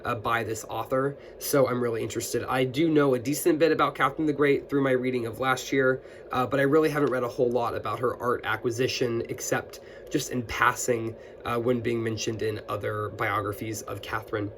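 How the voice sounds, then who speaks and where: A man's voice: medium pitch, steady tone, brisk, hardly any pauses, a bit bright. Man with a scratchy post-illness voice. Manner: friendly, with many fillers and restarts. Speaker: booktuber. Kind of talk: casual book haul chat.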